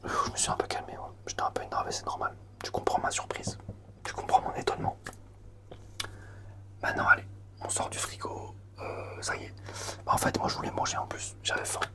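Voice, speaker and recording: A young man whispering softly through a comic roleplay, slangy and teasing. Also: a little fast.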